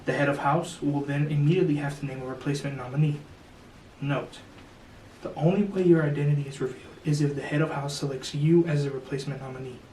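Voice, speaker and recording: A young man hosts in an easygoing, jokey way, padding his lines with fillers. His voice is fairly high for a man and sits back from the mic. The room around him rings noticeably, with a faint hiss behind. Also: steady tone, quick pace, dark tone. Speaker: host. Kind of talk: casual explanation and reading out a secret message. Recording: echoey room, slightly distant, faint noise.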